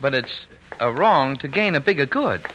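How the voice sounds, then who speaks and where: An actor playing a gentle, indulgent working-class father in a 1940s radio drama, folksy, hesitant and tender. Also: medium pitch, expressive, moderate pace, lo-fi sound, close to an everyday voice. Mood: earnest, tender. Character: gentle, indulgent working-class papa, quietly scheming dreamer. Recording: noisy vintage 1940s radio recording.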